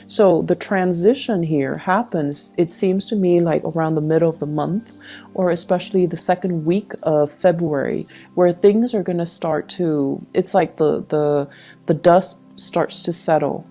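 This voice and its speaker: A woman with a low, relaxed voice reads tarot for her audience. She explains things in a reassuring way, checking in as she goes. Faint music plays behind her. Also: a little slow. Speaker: tarot reader. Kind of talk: tarot reading addressed to viewers.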